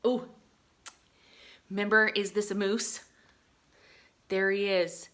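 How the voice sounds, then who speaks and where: A woman reading a picture book aloud to young children in an expressive way, adding chatty explanations and questions for her listeners. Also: medium pitch, slow. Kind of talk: animated read-aloud with chatty asides and questions.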